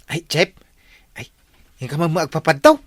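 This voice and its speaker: A man's voice: a little high, big pitch swings, normal speed, fairly bright tone, no room sound. An actor playing a gullible, coy male villager in Ilocano radio comedy, hesitant and confiding with a Filipino accent. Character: coy, gullible male villager, secretive about his love life.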